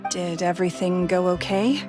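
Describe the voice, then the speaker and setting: A woman playing an anxious, soft-hearted young townswoman in a video game, speaking earnestly and with open emotion. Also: lowish voice, steady tone, normal speed, close to an everyday voice. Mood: amazed. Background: music.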